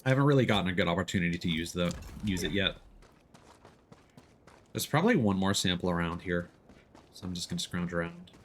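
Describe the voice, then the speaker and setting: Low-voiced man streaming a game. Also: lively intonation, fairly quick, long pauses, slight background noise. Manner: explanatory, thinking aloud. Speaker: streamer, gamer. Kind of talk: casual live game commentary.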